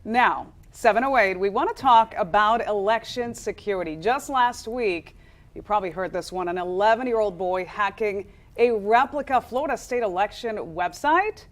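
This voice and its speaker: A woman's voice: mid-range voice, moderate pace. An American woman working as a news anchor, presenting a live television broadcast.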